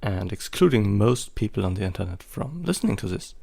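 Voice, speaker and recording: German-accented man, low gravelly voice, hesitant, lots of fillers, casual vlog talk. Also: sing-song, brisk, dark tone. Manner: rambling, hesitant, many fillers. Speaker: photography vlogger. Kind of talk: casual spoken commentary.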